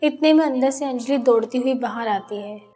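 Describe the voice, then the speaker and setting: A woman's voice: high voice, moderate pace, dark tone. A woman reading scripted narration for a cartoon family story.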